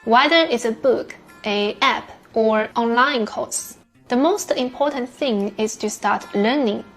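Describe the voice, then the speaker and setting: Female, measured scripted read, slightly raised pitch, light music bed. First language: Chinese. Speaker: English learner sharing study tips. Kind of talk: scripted narration.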